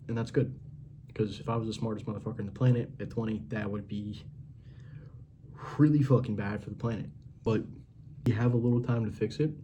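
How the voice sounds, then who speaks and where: A man's voice: fairly low pitch, warm tone, slight background noise. A man in his twenties giving a blunt, profane, rambling pep talk as a casual monologue. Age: 20s. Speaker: vlogger.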